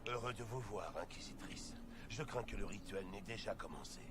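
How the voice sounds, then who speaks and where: A man's voice: fairly low pitch, moderate pace, lightly acted. Man as earnest soldier, noisy game audio. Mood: grave. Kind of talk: video game voice acting. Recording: game audio under clear ambient noise.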